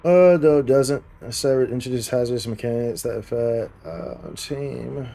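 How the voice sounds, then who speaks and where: A man's voice: medium pitch, normal speed, gravelly, warm tone, a little hiss or hum. A man livestreaming an action game with casual, slangy commentary, thinking aloud as he plays. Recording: game music behind the voice. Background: game music.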